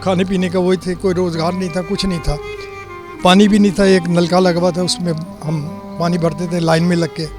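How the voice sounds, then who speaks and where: An elderly man in his eighties tells his memories in an unhurried, homely way. His voice sits fairly high for a man. Soft music plays faintly under the interview. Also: level tone. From born in Delhi, lives in Lahore. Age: 80s. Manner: unhurried storytelling, plain homely detail. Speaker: interviewee recalling 1947 migration. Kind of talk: reminiscing eyewitness account.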